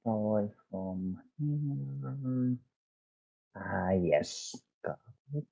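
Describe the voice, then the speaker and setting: A man with a gravelly, creaky voice speaks slowly and hesitantly. He is a viewer on a voice call, and the audio is somewhat dull. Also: fairly low pitch, lively intonation, warm tone. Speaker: viewer joining the stream. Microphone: online voice call.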